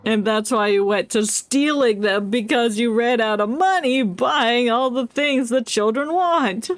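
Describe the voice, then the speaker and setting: A woman's voice: on the high side, measured pace, no room sound. A woman streaming a game with casual, joking live commentary and playful reactions. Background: faint game music.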